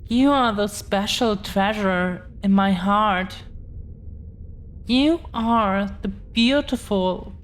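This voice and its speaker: Woman playing a very slow-spoken, tender dragon girlfriend. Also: mid-range voice, a little hiss or hum. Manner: hesitant, earnest, halting with pauses and repeats. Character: tender, devoted dragon-descended girlfriend, shyly confessing. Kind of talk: intimate ASMR roleplay monologue.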